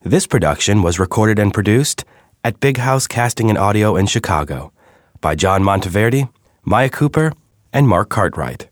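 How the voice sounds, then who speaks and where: A man reads out the credits of an audio theater piece in a formal, even announcer manner. His voice is fairly low and sounds dry and close to the microphone. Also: no echo.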